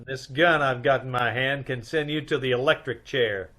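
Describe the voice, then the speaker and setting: A man's voice: medium pitch, moderate pace, bright, forceful tone, close to an everyday voice. A man playing a wry, cool private detective with a dry, teasing manner, in an old-time radio mystery. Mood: cool, bluffing.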